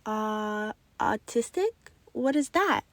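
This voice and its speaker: A woman voicing a curious, earnest child in an expressive, questioning way. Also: fairly high pitch, slow, dry recording. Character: curious, earnest child narrator who dreams of space.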